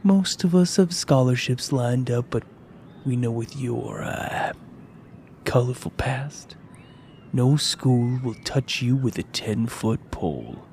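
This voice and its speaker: A man's voice: mid-range voice, expressive, slow pace, darkish tone, a little hiss or hum. A man playing a smug teenage football jock and bully in an audio drama, mocking and condescending as he taunts.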